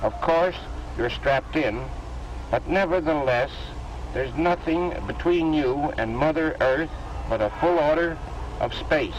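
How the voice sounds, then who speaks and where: A man's voice: fairly high pitch, measured pace, muffled recording, a little hiss or hum. An American man narrating a scripted 1930s newsreel in a jaunty, folksy, wisecracking manner on an old film soundtrack.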